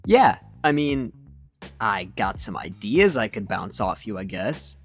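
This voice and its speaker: A man playing a cocky, ironic teenage boy in a game fan dub, slangy and cool, full of deadpan bravado. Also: lowish voice, unhurried. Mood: casual, guarded. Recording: music under the voice. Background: background music.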